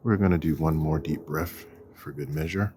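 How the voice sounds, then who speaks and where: A man leading a guided walking meditation in a deliberate, measured manner, with long pauses and a husky voice. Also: very deep voice, dark tone, a little hiss or hum. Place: outdoors on a walk.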